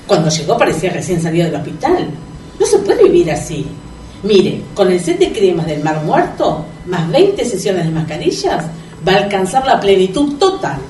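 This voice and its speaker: Una actriz argentina que interpreta en un radioteatro cómico a una promotora de cosméticos persuasiva, mandona y burlona. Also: female voice, fairly low pitch, sing-song, normal speed, warm tone, slight background noise. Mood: persuasive. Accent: Argentine, Rioplatense. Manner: persuasive, theatrical sales patter. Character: slick, insistent cosmetics promoter, bossy and mocking. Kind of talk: comic radio play acting. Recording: roomy, distant from the microphone.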